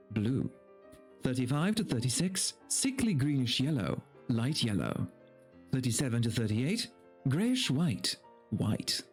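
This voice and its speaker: A man with a fairly average-pitched voice streams to an audience, talking casually and reading text aloud. His delivery is animated and playful, with lively swings in intonation. Faint music plays behind him. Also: slightly rough. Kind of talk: casual livestream chat and dramatic reading of a text.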